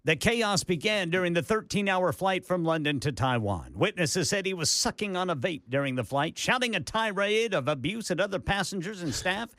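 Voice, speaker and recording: A man co-hosting a talk radio show, reading odd news stories aloud in an animated way. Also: sits high, normal speed, few pauses, no room sound. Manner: animated, puts on voices for quotes. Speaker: co-host.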